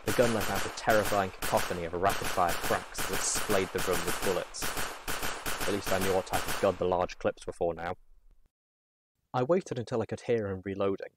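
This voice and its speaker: A man reading a first-person adventure story aloud as narrator, in an expressive, dramatic manner. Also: low voice, noisy background.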